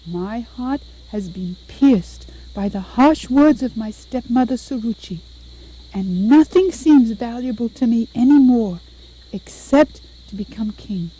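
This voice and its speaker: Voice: medium pitch, animated, unhurried, warm tone, husky, low-quality recording. An actor playing a determined five-year-old boy prince in a puppet show, speaking earnestly and formally. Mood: earnest, hurt.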